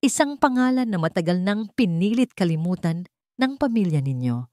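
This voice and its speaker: A woman's voice: fairly low pitch, sing-song, normal speed, warm tone, no echo, studio quality. A woman voicing an elderly, motherly housemaid in a story, grave and caring in manner. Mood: grave, fearful.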